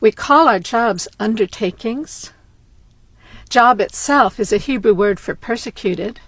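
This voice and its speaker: A woman giving a measured, wordplay-heavy spoken-word lecture that runs into rhyme. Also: fairly high pitch, a bit bright, no echo, rough recording.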